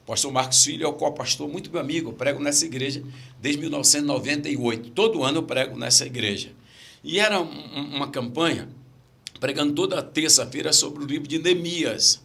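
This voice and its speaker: Stammering Brazilian pastor, gravelly voice. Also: male voice, fairly high pitch, bright, forceful tone. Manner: repeats phrases, laughs at own stories. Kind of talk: casual podcast chat, storytelling.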